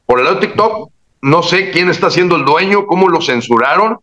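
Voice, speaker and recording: Emphatic man, bright tense voice. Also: sits high, animated, a little fast. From Mexico. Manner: emphatic, indignant, with frequent restarts. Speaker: guest commentator. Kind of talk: casual political chat.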